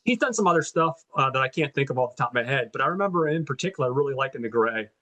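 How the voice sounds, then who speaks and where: A man's voice: mid-range voice, animated, rapid-fire, sharp tone. A man co-hosting a movie podcast, chatting in an upbeat, enthusiastic way and often repeating words as he starts. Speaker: podcast co-host. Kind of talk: casual chat.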